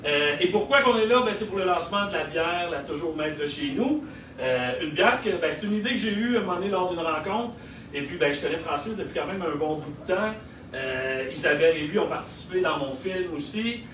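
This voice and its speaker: Québécois organizer speaking to a crowd, somewhat high voice, halting; far from the mic in a roomy, noisy space. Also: male voice, animated, a bit bright. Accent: Québécois French. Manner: hesitant, lots of fillers. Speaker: event organizer and filmmaker. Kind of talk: speech at a local launch event. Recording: echoey room, heard from a distance, noisy.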